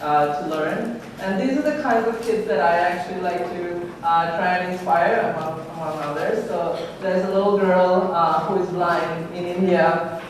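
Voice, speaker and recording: A woman's voice: lowish voice, normal speed, a little hiss or hum. A woman from Sri Lanka, a robotics researcher giving an earnest conference talk with many ums and uhs. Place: lecture hall. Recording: echoey hall from far off.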